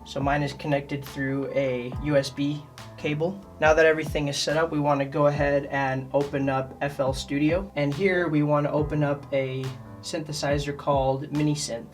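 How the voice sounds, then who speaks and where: A man's voice: fairly high pitch, level tone, moderate pace, faint music behind. A man hosting a casual instructional tutorial, speaking to viewers directly in a friendly way. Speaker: host of a music gear tutorial channel.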